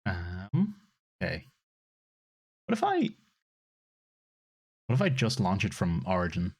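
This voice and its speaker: A man's voice: low voice, huge pitch swings, slow pace. Laid-back swearing male streamer. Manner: chatty, swears freely, laughs often. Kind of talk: casual live game stream chat.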